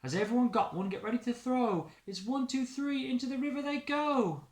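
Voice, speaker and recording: An excited little storybook girl acted by a man, high and singsong as she calls out. The room adds echo. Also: normal speed. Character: excited young girl playing a racing game.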